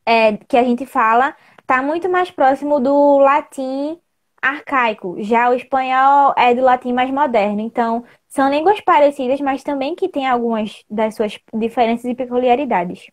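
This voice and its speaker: A woman in her twenties with a northeastern Brazilian accent speaks as a student guest in an online chat. Her voice is a little high, and she explains things casually. She talks into a wired earphone mic at home. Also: moderate pace. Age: 20s. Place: indoor room at home. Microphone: wired earphone mic on a video call.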